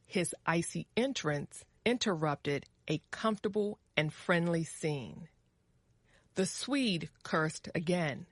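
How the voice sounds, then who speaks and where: A woman narrating a scripted story for English learners, measured and carefully articulated. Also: fairly low pitch, no room sound, studio quality.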